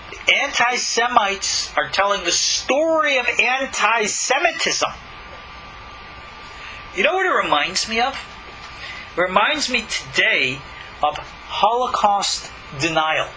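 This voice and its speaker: A man's voice: very high-pitched, pushed and bright, a little hiss or hum, rough recording. A man speaking as a rabbi and teacher, giving emphatic, argumentative scripture commentary full of rhetorical questions.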